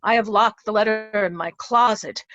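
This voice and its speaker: A woman playing a secretive, anxious, loyal nobleman in an amateur reader's theatre Shakespeare drama, speaking in a measured, confiding way. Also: mid-range voice, fairly bright tone, no echo, highs cut off, lightly acted. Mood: secretive, urgent. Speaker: amateur stage actor.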